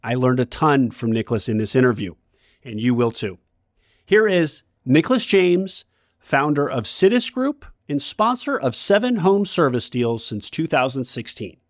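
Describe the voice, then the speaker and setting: Upbeat male podcast host, bright and animated, close dry mic. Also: medium pitch, normal speed, no room sound. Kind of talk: scripted podcast intro and interview.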